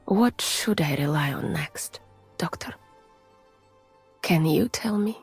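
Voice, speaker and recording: Slow breathy russian lady scientist, gentle and weary, game character, very close and whispery, music behind. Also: low voice, animated, heavily acted. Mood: wistful, quiet. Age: adult, mature. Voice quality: soft, breathy, often near a whisper. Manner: measured, formal, restrained. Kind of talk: soft, intimate ASMR-like game voice acting.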